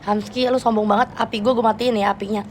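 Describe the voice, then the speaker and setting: A young woman with a Jakarta Betawi slang accent chatting casually with viewers on a live stream, playful and quick to laugh. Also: fairly high pitch, little pitch movement, a little mellow, slight background noise. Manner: chatty, playful, laughs often, switches registers.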